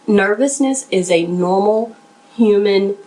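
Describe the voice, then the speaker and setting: A woman's voice: mid-range voice, slow, dark tone, rough recording. A woman giving conversational, instructional advice as an interview coach, speaking plainly and directly to listeners in an encouraging, slightly nervous way. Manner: somewhat nervous. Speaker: professional resume writer and interview coach hosting a podcast.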